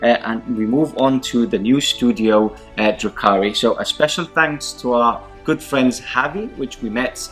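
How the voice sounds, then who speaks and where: A man's voice: mid-range voice, a little slow, few pauses, soft background music. A man whose first language is Spanish, hosting a tabletop wargame show with casual, unscripted rundowns. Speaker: host of a tabletop wargame battle report show.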